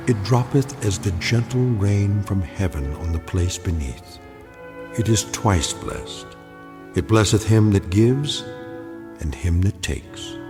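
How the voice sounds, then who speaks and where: A solemn anthology narrator with a low voice. He delivers measured, portentous lines with expressive pitch, in long reverb. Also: full character voice. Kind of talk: scripted closing narration. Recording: long reverb, music underneath.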